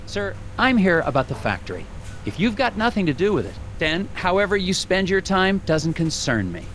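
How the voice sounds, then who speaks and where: An actor playing an earnest, polite and slightly uneasy FBI agent in a video game. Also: male voice, high-pitched, big pitch swings, moderate pace, fairly bright tone, a little hiss or hum. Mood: polite, probing. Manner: formal, earnest questioning. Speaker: game character, investigator. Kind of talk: scripted video game dialogue and narration.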